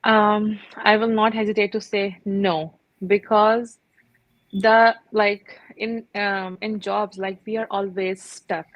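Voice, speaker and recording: A woman, speaking earnestly and haltingly as a guest on a video call, full of fillers. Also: medium pitch, little pitch movement, no echo, lo-fi sound. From Pakistan. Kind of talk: casual video call interview.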